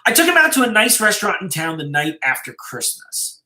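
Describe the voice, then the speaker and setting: A man reading a suspenseful story aloud as a storyteller, in a measured, dramatic way with pauses. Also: sits high, huge pitch swings, bright, forceful tone. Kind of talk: live dramatic story reading, first-person narration. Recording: roomy, echoey live-stream sound.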